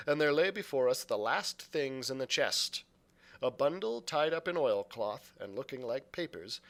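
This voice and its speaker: A man narrating a storybook aloud as a librarian would, with deliberate, dramatic phrasing. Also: fairly high pitch. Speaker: youth services librarian reading aloud.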